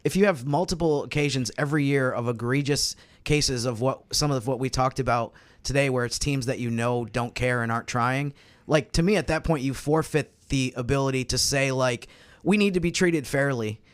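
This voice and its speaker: A man in a casual sports discussion, reasoning through his argument in a measured way. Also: medium pitch. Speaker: sports podcast panelist.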